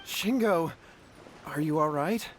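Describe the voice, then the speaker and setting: A man's voice: fairly high pitch, animated, slow pace, slight background noise, poor audio. A man voicing a worried, earnest brother in a video game, apologetic and polite. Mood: worried, regretful. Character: worried, earnest, grateful brother of a possessed swordsman.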